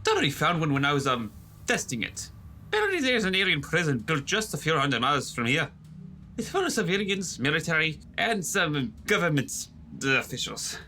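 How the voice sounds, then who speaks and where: A man's voice: on the high side, sing-song, moderate pace, bright, forceful tone, a little hiss or hum, exaggerated voice. A man playing an earnest, slightly hesitant ship's doctor and inventor in a sci-fi audio drama. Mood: excited, proud.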